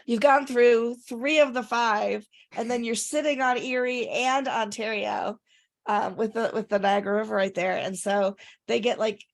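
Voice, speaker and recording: A woman co-hosting a casual chat, her voice raspy and congested from recent illness, talking in a rambling way with fillers. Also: a little high, steady tone, moderate pace. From Rochester area, upstate New York. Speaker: podcast co-host.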